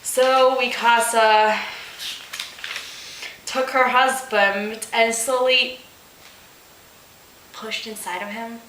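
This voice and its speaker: A bright, high-pitched woman reads a silly story aloud in an echoey room. She takes her time and plays up the drama, sounding giggly and in disbelief at what she reads. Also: fairly even intonation, extremely slow, pauses often, slight background noise. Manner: giggly, mock-dramatic, incredulous. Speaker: host reading fan fiction aloud. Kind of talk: dramatic comic read-aloud of amateur story with asides.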